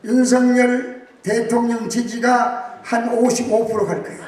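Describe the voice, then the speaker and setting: Man, rousing speech, very high voice, far from the mic. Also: flat delivery, measured pace, slight background noise. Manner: emphatic, shouting slogans to the crowd. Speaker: guest speaker. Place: large banquet hall with audience. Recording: very echoey hall, distant mic, crowd behind.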